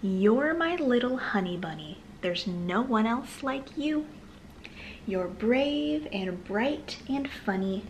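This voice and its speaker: Slow-talking woman reading to toddlers. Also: fairly high pitch, lively intonation, a little hiss or hum, rough recording. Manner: slow, sing-song reading with gentle asides. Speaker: children's storytime host and reader. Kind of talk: read-aloud storytime for toddlers, addressing young children. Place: small living room, on a sofa, indoors.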